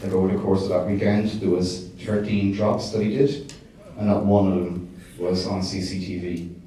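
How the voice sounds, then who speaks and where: Guy answering q&a after a screening, low flat voice, lots of ums, sounds far off in a big echoey hall. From Ireland. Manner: hesitant, many fillers and restarts. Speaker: documentary director. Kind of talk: festival Q&A answers. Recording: distant echoey hall, faint noise.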